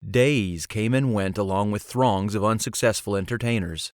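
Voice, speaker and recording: A man's voice: on the low side, normal speed, no echo, clean studio sound. A man reading scripted fantasy storybook narration as an audiobook narrator.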